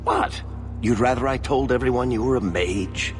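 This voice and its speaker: A man's voice: medium pitch, normal speed, soft background music, close to an everyday voice. A man voicing a wry, talkative storyteller rogue in a fantasy video game, sardonic and theatrical. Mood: sheepish banter. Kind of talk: video game character dialogue.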